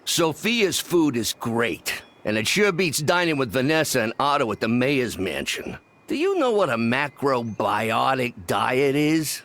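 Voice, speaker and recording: A man's voice: mid-range voice, very animated, a little slow, a bit bright. A man playing a droll, emphatic villager in a video game, given to wry grumbling. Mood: wry complaint. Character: droll male villager grumbling about fussy food.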